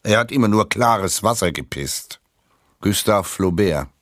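A man performing a scripted literary recitation of scathing insults with measured, biting delivery. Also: lowish voice, animated, fairly bright tone, no room sound, studio quality. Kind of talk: scripted literary recitation, quote then author's name.

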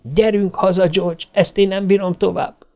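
A man's voice: fairly high pitch, steady tone, vocal fry, a little mellow, pitched above the performer's own voice. A man voicing a fretful, exasperated mother in a storybook, impatient and scolding. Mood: alarmed, scolding.

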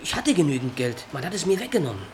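A man's voice: a little high, sing-song, quick pace. An actor playing a young male Scottish soldier on the run, who talks urgently and pleads in a radio play. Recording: noisy old radio recording.

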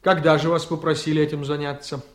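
A man's voice: fairly high pitch, little pitch movement, fast talker, lightly acted. A man acting an assertive district prosecutor in a radio play, formal and probing. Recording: echoey room.

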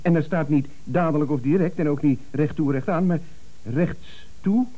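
An actor playing an earnest, stubborn office employee with a hesitant, pedantic way of talking, in radio comedy. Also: male voice, a little high, moderate pace, warm tone, natural voice, poor audio. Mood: puzzled, suspicious. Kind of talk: 1970s Dutch radio comedy acting.

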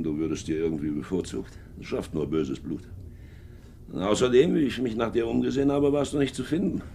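An actor playing a hardened, domineering middle-aged sea captain and war veteran in a German radio drama, brooding and clipped. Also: male voice, a little mellow. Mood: gruff joviality. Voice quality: gravelly, creaky low voice. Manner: brooding, clipped, swinging from hearty to menacing murmur. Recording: noisy 1950s radio recording.

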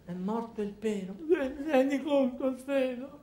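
Distraught bad-news bearer, halting, drawn out words, raised pitch, echoey radio play. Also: mellow. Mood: shaken, consoling. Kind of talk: comic radio drama acting.

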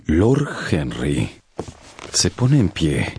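A man narrating a radio adaptation of a novel in a solemn, theatrical style with deliberate pauses. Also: a bass voice, wildly swooping pitch, creaky, mellow, a little hiss or hum. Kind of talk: dramatic scripted literary narration for radio.

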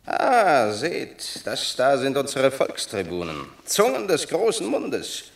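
Proud, fiery Roman war hero. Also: male voice, mid-range voice, huge pitch swings, slow pace, creaky, exaggerated voice. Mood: scornful, brooding. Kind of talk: classic German radio drama, stage verse.

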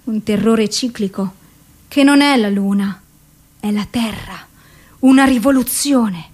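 A broken, suicidal woman delivering a poetic radio play monologue. Her voice sits fairly high and moves very slowly. Each short phrase is weighed and intense, heavy with despair. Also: a little mellow. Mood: bleak, desolate. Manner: deliberate, intense, short measured phrases with pauses.

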